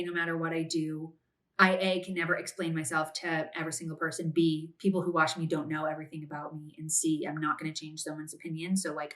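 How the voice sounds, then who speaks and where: A woman's voice: medium pitch, a bit bright. A woman in her late twenties hosting a podcast, giving casual advice in a chatty way, often using "like" and "if that makes sense". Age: 20s. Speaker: podcast host.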